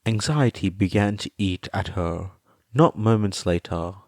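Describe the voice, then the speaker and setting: Slow, low-voiced male story narrator. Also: darkish tone, no echo, studio quality. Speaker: podfic narrator.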